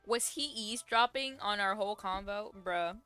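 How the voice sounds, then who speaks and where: A young woman giving casual, slangy, expressive reaction commentary as she plays a game. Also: mid-range voice, moderate pace, clean tone, faint music behind. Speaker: game streamer.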